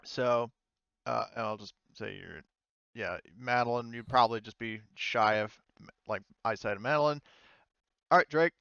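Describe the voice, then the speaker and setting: A man acts as game master for a tabletop roleplaying session. His voice is clear and mid-pitched, recorded dry and a little muffled. He talks casually, feeling his way through rulings and swearing freely. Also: normal speed, pushed and bright, no room sound.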